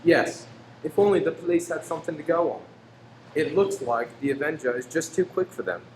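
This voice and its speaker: A man acting a gentle, hopeful, doting Victorian husband in an old-time radio play, soothing and earnest. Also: a little high, lively intonation, brisk, close to an everyday voice. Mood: concerned, wistful. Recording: roomy stage recording, faint hum.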